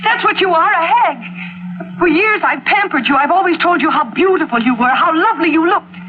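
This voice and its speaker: An actress playing a long-suffering servant, agitated and scolding with indignant scorn in an old-time radio drama. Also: female voice, very high voice, animated, brisk, slight background noise. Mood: scornful. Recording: tinny vintage radio recording.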